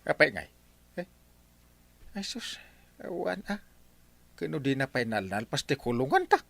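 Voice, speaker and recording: A man's voice: medium pitch, very animated, a little slow, creaky, noisy background. A man playing a blustering, easily offended village husband in an Ilocano comedy radio drama, with an Ilocano accent. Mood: grumbling. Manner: blustering, exclaiming, confrontational. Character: hot-tempered village husband, quick to take offence.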